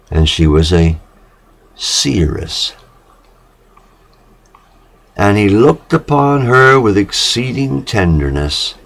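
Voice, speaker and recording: A man giving a solemn, measured reading of literary prose poetry, with deliberate pauses between phrases. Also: low voice. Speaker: reader.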